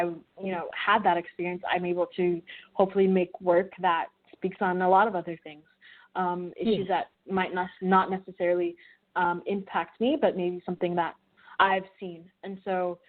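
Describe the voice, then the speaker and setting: Young woman, low flat voice, tinny line. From Midwestern US, Wichita, Kansas. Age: young adult. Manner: reflective, many fillers and restarts. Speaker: guest, filmmaker and student. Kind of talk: interview over a call.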